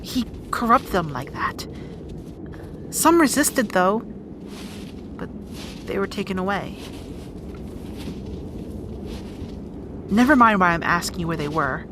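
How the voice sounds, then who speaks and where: A woman's voice: mid-range voice, sing-song, unhurried, long pauses, noisy background. A woman playing a brusque, guarded young rat princess in an audio roleplay drama, bossy and conversational. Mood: guarded, serious.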